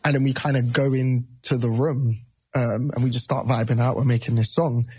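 A man's voice: medium pitch, steady tone, normal speed, a little mellow, husky, dry recording. A man in his thirties, a musician guest, talking casually in an interview, loose and rambling with many restarts.

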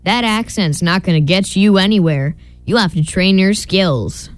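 A woman's voice: mid-range voice, moderate pace, no room sound, close to an everyday voice. Woman playing a cocky slang-slinging bro sidekick. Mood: cocky, breezy. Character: slangy, upbeat male best buddy, bro-talking sidekick. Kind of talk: comic radio play acting.

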